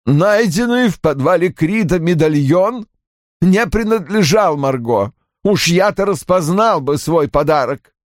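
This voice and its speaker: A man's voice: sits high, sing-song, unhurried, no echo, clean studio sound, voice pushed up. A man voicing a bitter, brusque older father in an audiobook, irritable and defensive, with cold, emphatic delivery. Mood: cold, dismissive. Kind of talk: audiobook character acting.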